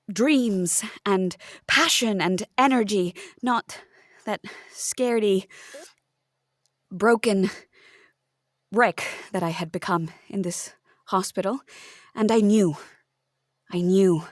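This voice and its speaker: A woman playing an anxious, dramatic hypochondriac writer, halting and theatrical, in a comic hospital audio drama. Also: mid-range voice, no room sound, clean studio sound.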